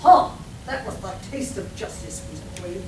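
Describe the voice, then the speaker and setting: A woman's voice: mid-range voice, lively intonation, moderate pace, close to an everyday voice. A woman playing a boastful, triumphant heroine in a melodramatic comic radio play. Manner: declamatory, bold, melodramatic. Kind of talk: live radio play comedy acting. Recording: echoey, noisy room from far off.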